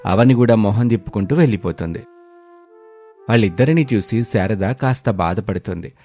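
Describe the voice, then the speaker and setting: A man's voice: fairly low pitch, moderate pace, warm tone, soft background music. A man narrating a scripted moral story.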